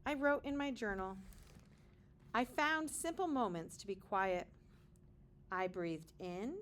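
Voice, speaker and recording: A woman's voice: mid-range voice, lively intonation, slow, a bit bright, slight background noise. A woman reading a children's picture book aloud with dramatic emphasis and short explanatory asides, like a kindergarten teacher.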